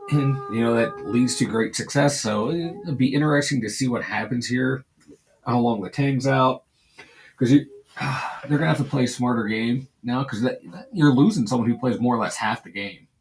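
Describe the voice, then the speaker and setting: A man with a Pittsburgh accent co-hosting a casual sports podcast, laid-back and rambling, with a hoarse voice. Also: medium pitch, normal speed, a little hiss or hum, rough recording. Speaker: podcast co-host.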